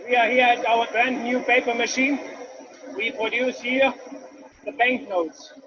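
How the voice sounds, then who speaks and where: A man's voice: very high voice, steady tone, measured pace, muffled recording, noisy background. A man with a Swiss accent guiding a tour of a paper mill, explaining each step plainly and with non-native phrasing. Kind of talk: guided factory tour.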